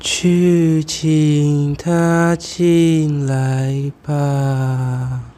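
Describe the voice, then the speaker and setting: A man's voice: fairly high pitch, little pitch movement, extremely slow, mellow. A teenage male student playing a commanding, grieving ghost of a noble wife in an amateur radio drama, speaking formally.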